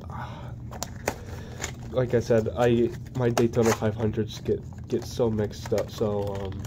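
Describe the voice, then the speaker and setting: A young man talks through a casual unboxing while fighting a cold, his voice a bit congested. He speaks in a flat, even tone, stalling often on ums and restarts. The home recording carries clear background noise. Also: medium pitch. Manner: hesitant, many ums and restarts. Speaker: diecast collector reviewing model cars. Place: indoors at home, plain wall.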